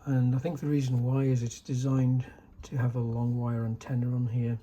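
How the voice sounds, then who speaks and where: A man giving a casual, unscripted technical demonstration in a halting, low-key way, like a restorer explaining equipment. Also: monotone, mellow, muffled recording, a little hiss or hum. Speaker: vintage radio restorer demonstrating a set.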